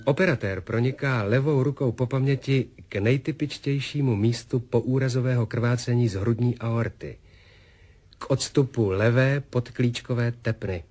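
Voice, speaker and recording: An actor playing a medical narrator who reports on surgery in a formal, measured, clinical way in a 1970s radio drama. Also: male voice, mid-range voice, a little mellow, close to an everyday voice. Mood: clinical, grave. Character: male medical narrator reporting a surgical operation. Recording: music under the voice.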